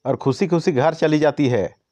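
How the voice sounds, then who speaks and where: A man's voice: on the high side, moderate pace, warm tone. Man narrating a children's cartoon story.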